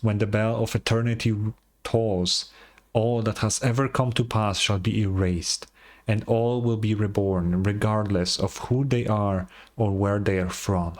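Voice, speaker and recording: A man's voice: on the low side, fairly even intonation, unhurried, darkish tone, close to an everyday voice. A man voicing a pompous, devout bell ringer from a fantasy game, preaching in grand archaic phrases. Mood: rapturous. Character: pompous zealous male bell ringer, dreamy cult devotee. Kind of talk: game dialogue read in character.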